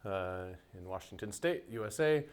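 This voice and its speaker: A man raised in the United States answers interview questions in a thoughtful, unhurried way, with many fillers and restarts. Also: on the low side, lively intonation, smooth. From United States (Seattle). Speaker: interviewee, musician.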